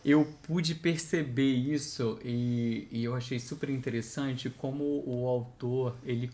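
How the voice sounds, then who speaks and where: A man in a casual book discussion, speaking in a measured way and repeating words as he builds his point. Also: mid-range voice, steady tone, warm tone, a little hiss or hum. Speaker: co-reviewer.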